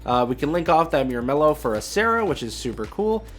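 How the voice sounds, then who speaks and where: A man's voice: on the high side, big pitch swings, quick pace. A young man hosting a gaming video, giving casual, rambling commentary as he thinks aloud. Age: young adult.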